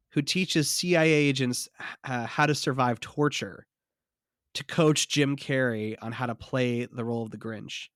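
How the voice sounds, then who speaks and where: A man's voice: medium pitch, a bit bright, dry recording. Podcast co-host, male, congested from a cold, chatty trivia-sharer, mid-range voice, dry close sound. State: stuffy from a cold. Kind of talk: casual chat.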